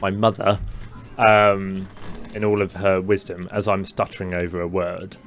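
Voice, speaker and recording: A young man with a mild stutter who co-hosts a podcast, telling a personal story in casual chat with many fillers and halting restarts. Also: low voice, little pitch movement. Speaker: podcast co-host.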